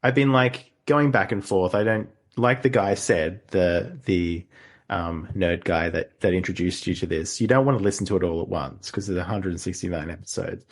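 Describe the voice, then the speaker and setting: A man with a fairly low voice chats casually as a podcast host. He is agreeable and a little hesitant, wandering through his sentences as he thinks. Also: normal speed.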